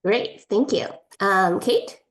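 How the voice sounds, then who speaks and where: Woman moderating an online panel over video call, mid pitch, polite, lots of ums. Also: slow. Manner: polite, organized, many fillers. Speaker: moderator, admissions director.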